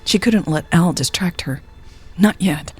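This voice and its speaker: A woman's voice: on the low side, moderate pace, soft background music. A woman reading a scripted story as a narrator, voicing the dialogue as she goes. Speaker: audio fiction narrator.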